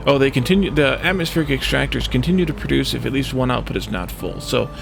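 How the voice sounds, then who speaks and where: Gravelly man talking through a game. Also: medium pitch, expressive, fast talker. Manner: thinking aloud, musing through problems. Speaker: video game let's play host. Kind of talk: casual gameplay commentary. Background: background music.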